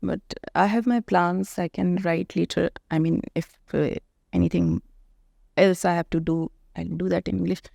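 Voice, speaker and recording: Woman, Indian accent, native Hindi speaker, middle-aged poet, gravelly, dry close sound, thoughtful pauses. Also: lowish voice, fairly even intonation, darkish tone, no room sound, highs cut off. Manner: thoughtful, searching for words with frequent pauses. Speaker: poet and author guest. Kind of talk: reflective conversational interview.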